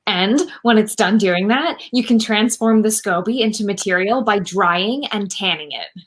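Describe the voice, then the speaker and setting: A woman's voice: medium pitch, normal speed, lightly acted. A woman in her thirties playing a proud, dry-witted eco-inventor in a comedic radio play over a video call. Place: room at home, on a video call.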